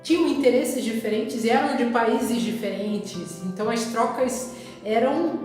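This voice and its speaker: A woman with a Rio de Janeiro Brazilian accent, telling personal travel memories casually and reflectively, often pausing mid-sentence. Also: fairly high pitch, a little mellow. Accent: Rio de Janeiro Brazilian Portuguese. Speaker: vlogger recounting travel memories. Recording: very echoey, reverberant room with music.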